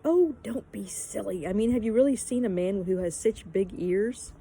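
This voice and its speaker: A woman's voice: medium pitch, normal speed, dark tone, breathy edge. A woman voicing an earnest, emphatic little girl in a storybook, eager and easily exasperated. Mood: cross, amazed.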